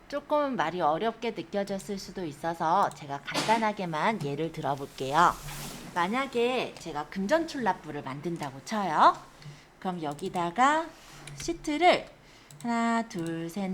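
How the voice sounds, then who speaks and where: A woman instructor giving an informal step-by-step software tutorial, explaining things and often checking that learners follow. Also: medium pitch, slight background noise. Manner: explanatory, often checks understanding with tag questions. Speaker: computer skills instructor.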